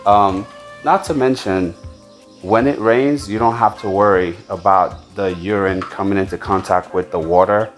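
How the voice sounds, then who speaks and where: Low-voiced man explaining on a farm tour. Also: fairly even intonation, normal speed, soft background music. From United States. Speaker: farm owner and video host.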